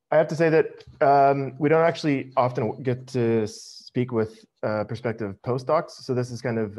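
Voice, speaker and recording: A middle-aged American man giving a casual webinar presentation over a video call, relaxed and full of ums. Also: lowish voice. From United States. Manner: relaxed, many ums, friendly. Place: home office, video call. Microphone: headset.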